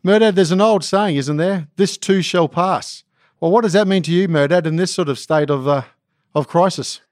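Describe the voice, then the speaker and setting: Man, podcast host, fairly high pitch, dry close sound, measured delivery. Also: darkish tone, dry recording. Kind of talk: scripted introduction and interview questions.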